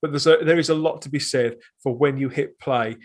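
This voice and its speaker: A man's voice: mid-range voice, a little fast. A man talking casually as a podcast guest and reviewer, discursive and often rephrasing himself, with wry understatement.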